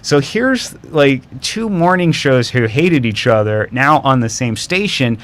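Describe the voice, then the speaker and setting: Man, interview guest, radio historian, casual conversational talk, average pitch. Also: expressive, a little slow, noisy background.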